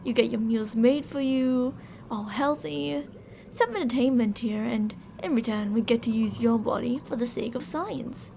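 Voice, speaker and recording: A high, heavily creaky female voice acted by a woman plays a mad scientist captor in an ASMR roleplay. She is syrupy and courteous with a stern, controlling edge. There is clear noise behind her. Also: moderate pace, mellow. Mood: smug, coaxing. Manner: sugary politeness turning stern and commanding. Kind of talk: ASMR roleplay audio drama.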